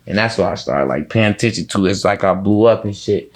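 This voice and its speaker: A man's voice: on the low side, expressive, fast talker. An American man speaking as a rapper being interviewed, in casual, slangy, profane and repetitive talk.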